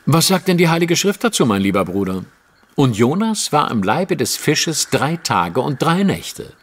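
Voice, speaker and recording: A man acting a brilliant, self-assured gentleman detective in an audio drama, speaking with precise, theatrical, dry irony. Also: mid-range voice, sing-song, moderate pace, slight background noise. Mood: ironic, pointed.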